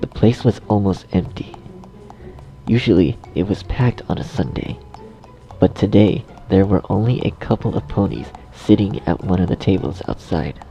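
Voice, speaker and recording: A man's voice: sits low, normal speed, creaky, dark tone. A man narrating a scripted story, recorded slightly dull. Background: faint music.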